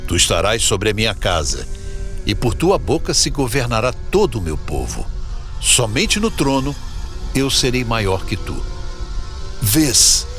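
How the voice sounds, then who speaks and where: A man's voice: lowish voice, sing-song, unhurried, fairly bright tone, faint music behind. A man playing a commanding, solemn king of Egypt in a dramatized audio Bible, speaking in a declamatory way. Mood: solemn, approving. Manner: declamatory, proclaiming.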